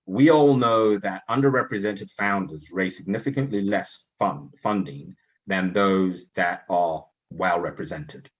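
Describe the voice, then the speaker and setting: A man's voice: deep voice, fairly bright tone, slightly rough, highs cut off. A man from Zimbabwe hosting a virtual summit welcome, speaking earnestly with fillers and restarts. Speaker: event host. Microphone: virtual conference stream.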